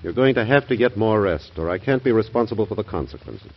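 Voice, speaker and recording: An actor playing a blunt, fussing, protective personal physician in an old-time radio drama. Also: male voice, fairly low pitch, lively intonation, fast. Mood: stern, fond. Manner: scolding, blunt, familiar. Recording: muffled old radio broadcast recording.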